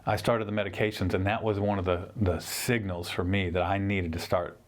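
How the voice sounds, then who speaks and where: A man in his fifties with a fairly low voice talks straight to his viewers in an earnest, meandering way. He circles back, repeats himself and restarts sentences as he shares a personal update. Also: little pitch movement, fairly quick. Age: 50s. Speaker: orthopedic surgeon and channel host. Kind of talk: casual personal update to camera.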